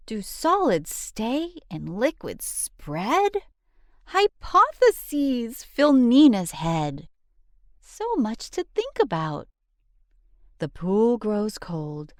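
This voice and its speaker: A woman reading a rhyming children's book aloud in an expressive, sing-song storyteller's delivery. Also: medium pitch, no echo, clean studio sound.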